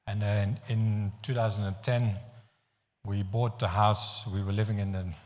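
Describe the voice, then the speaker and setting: A man from the Netherlands with a Dutch accent, preaching a sermon in an earnest, halting way with frequent restarts. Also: lowish voice, flat delivery, darkish tone. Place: church hall. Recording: long hall reverb.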